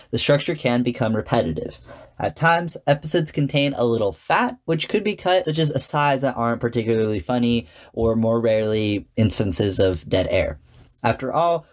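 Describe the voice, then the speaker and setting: A man speaks as a podcast host at a middle pitch. His delivery is scripted commentary, measured and articulate, addressed straight to the listener. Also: a little mellow.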